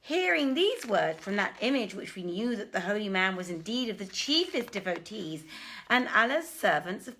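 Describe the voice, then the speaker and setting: A woman hosting an informal story reading, speaking deliberately with a theatrical touch as a storyteller. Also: medium pitch, fairly bright tone. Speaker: host and reader, theatre director. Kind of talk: informal livestream welcome, then dramatic storybook reading.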